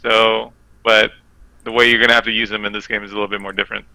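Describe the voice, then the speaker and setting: A man's voice: on the low side, flat delivery, fast, creaky, sharp tone, no room sound, poor audio. A man giving his casual take on a game as a guest on a podcast. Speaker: guest player on a gaming podcast. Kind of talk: casual chat, game analysis.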